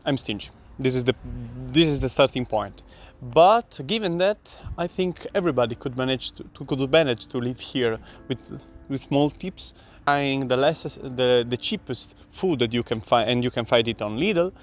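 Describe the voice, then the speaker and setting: A man's voice: mid-range voice, normal speed, few pauses. A young man from Italy with an Italian accent, an exchange student giving blunt money-saving advice in an interview, with frequent restarts. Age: young adult. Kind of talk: interview answers.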